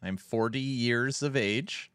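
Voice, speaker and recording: A man's voice: mid-range voice, slow pace, a bit bright, clear, resonant tone, no room sound, studio quality. Chatty forty-year-old male streamer. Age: 40s. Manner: chatty, reads viewer messages aloud. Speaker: streamer host. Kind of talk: casual live-stream chat.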